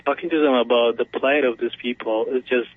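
A man's voice: mid-range voice, steady tone, brisk, dry recording. A man from Ethiopia calling into a radio show, speaking earnestly and hesitantly and often repeating words. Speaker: caller. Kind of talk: phone-in call. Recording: tinny phone line.

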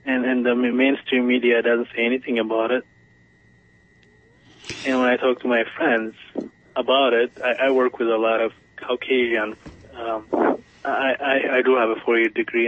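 A man's voice: medium pitch, little pitch movement, normal speed. A man from Ethiopia calling into a radio show, speaking earnestly and hesitantly and often repeating words. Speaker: caller. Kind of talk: phone-in call. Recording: tinny phone line.

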